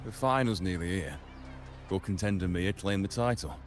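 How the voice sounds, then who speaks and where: A man's voice: deep voice, normal speed, soft background music, natural voice. An actor playing a chatty, knowing male arena spectator in a video game, gossipy and conversational.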